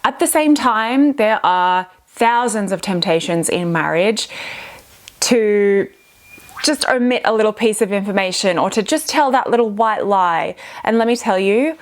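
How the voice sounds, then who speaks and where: A woman's voice: medium pitch, lively intonation, measured pace. A woman hosting a reaction video, giving earnest, emphatic commentary on marriage advice. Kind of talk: casual commentary on relationship clips.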